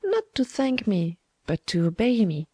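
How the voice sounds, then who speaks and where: A woman's voice: medium pitch, sing-song, measured pace, warm tone, slightly rough, no room sound. A woman voicing a sly, domineering old woman schemer in a storybook, with a taunting, wheedling manner. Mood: wheedling, gloating. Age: older.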